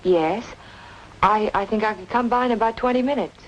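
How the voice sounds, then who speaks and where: A woman's voice: mid-range voice, normal speed, close to an everyday voice. Actress as a troubled young woman, noisy old recording. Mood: polite, flustered. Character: polite, troubled young woman questioning those around her. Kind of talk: old-time radio noir drama acting.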